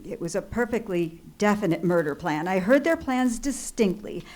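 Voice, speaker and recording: An actress playing an anxious, imperious bedridden married woman in a radio suspense play, flustered, halting and indignant. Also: medium pitch, animated, a little mellow, lightly acted. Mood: agitated, rattled. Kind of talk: old-time radio suspense play acting. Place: live theatre stage.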